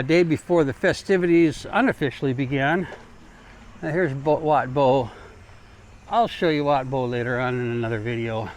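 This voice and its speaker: An American man doing a casual, rambling travel vlog while walking, talking in an informal, folksy way. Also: on the high side. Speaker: travel vlogger. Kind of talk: casual rambling walk-and-talk chat. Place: outdoors, walking along city streets. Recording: clear outdoor street and traffic noise.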